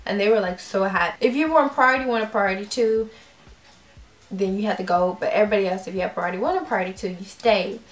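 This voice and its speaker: Animated young woman vlogger. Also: medium pitch, quick pace, soft background music. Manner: animated, acts out quoted reactions. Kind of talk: casual storytelling. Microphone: camera mic. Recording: roomy echo.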